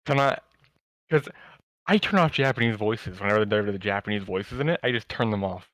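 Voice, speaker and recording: A man co-hosting a podcast in casual chat, speaking haltingly and often rephrasing himself. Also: on the low side, animated, fairly bright tone, dry recording. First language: English. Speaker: podcast co-host.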